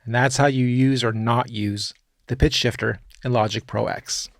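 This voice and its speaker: A man narrating a software tutorial in an informal, opinionated way, like a music production teacher talking through his steps. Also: medium pitch, steady tone, moderate pace, creaky, no room sound, studio quality.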